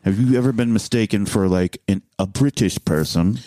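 Low-voiced man rambling on a podcast. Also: normal speed, mellow, dry recording. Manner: rambling, restarts, laughs often. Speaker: podcast host. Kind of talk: casual podcast banter.

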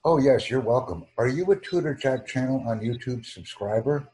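An American man hosting a YouTube channel, speaking formally and deliberately with careful enunciation on a video call. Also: mid-range voice, a little mellow, slightly rough, poor audio. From United States.

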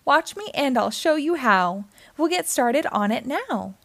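Woman as a high-voiced helpful lady snake. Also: big pitch swings, unhurried, fairly bright tone, dry recording, full character voice. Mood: surprised, instructive. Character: female tree snake, surprised, helpful teacher.

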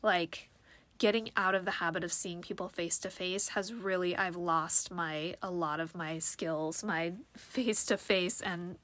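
A woman's voice: fairly low pitch, steady tone, unhurried, fairly bright tone, no echo. A young woman hosting her own podcast, talking alone in a rambling way with lots of fillers, a little sniffly from allergies. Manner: rambling, many fillers and restarts. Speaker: podcast host. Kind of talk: casual solo podcast monologue.

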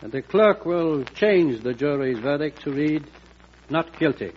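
An actor playing a sober, authoritative presiding judge in a classic radio drama, formal and deliberate. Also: male voice, fairly high pitch, animated, muffled recording. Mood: stern, formal. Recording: noisy, band-limited old radio recording.

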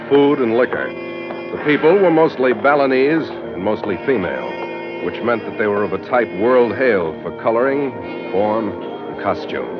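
An actor playing a tough, sardonic ship's captain in an old-time radio adventure, wry and hard-boiled. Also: male voice, medium pitch, close to an everyday voice. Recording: muffled vintage radio recording with music.

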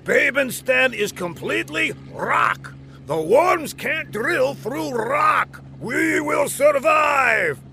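Comic audiobook villain: gravelly, piercing, theatrical megalomaniac, gleeful and easily exasperated. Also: male voice, very high-pitched, animated, takes it slowly, pushed and bright, raised voice, slight background noise, voice pushed up, exaggerated voice. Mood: triumphant. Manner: theatrical, emphatic, exclaiming grandly.

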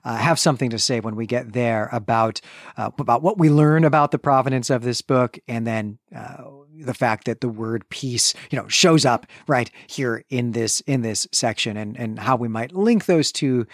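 A man's voice: mid-range voice, moderate pace, no echo, studio quality. A man co-hosting a book discussion podcast, talking through a novel casually with fillers and restarts. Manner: relaxed, thinking aloud with fillers and restarts. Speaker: podcast co-host.

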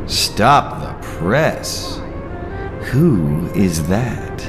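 A gleeful menacing clown villain, super slow n drawn out, pitch swoops everywhere, audio drama. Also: male voice, very animated, over music, heavily acted. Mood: smitten. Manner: theatrical, drawn-out delivery with sudden swoops.

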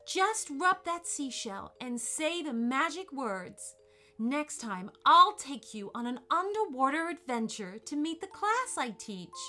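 A woman's voice: sits high, bright, forceful tone, voice pushed up, full character voice. A woman voicing a sweet, grateful young mermaid who teaches a class, speaking effusively.

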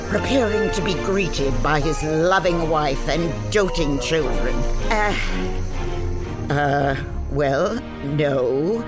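A woman's voice: low-pitched, takes it slowly, over loud music. A woman playing a pompous storyteller with grandiose, flowery delivery in a scripted audio fantasy comedy. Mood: grand narrating. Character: pompous, theatrical female storyteller with flowery words.

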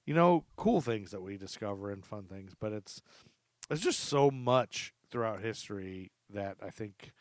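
A man's voice: lowish voice, expressive, a little slow, no room sound. Casual male podcast host, dry sound. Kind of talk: casual conversational podcast chat.

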